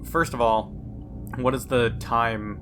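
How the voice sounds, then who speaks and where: A man takes part in a tabletop game as a player, working out the mechanics aloud. His pitch is average and his manner organized and analytical. Ambient noise is clearly audible around him. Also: fairly even intonation, slow. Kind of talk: casual tabletop game discussion.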